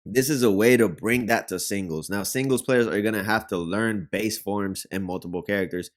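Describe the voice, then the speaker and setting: Puerto Rican man, low casual voice. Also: normal speed. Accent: Puerto Rican Spanish. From Puerto Rico. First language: Spanish. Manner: chatty, rambling, uses many fillers. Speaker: reaction video host. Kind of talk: casual gaming commentary.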